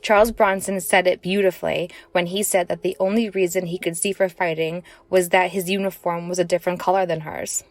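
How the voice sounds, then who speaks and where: A woman's voice: on the low side, steady tone, brisk, few pauses, no room sound. A woman giving a prepared personal commentary as a listener contributing to a podcast.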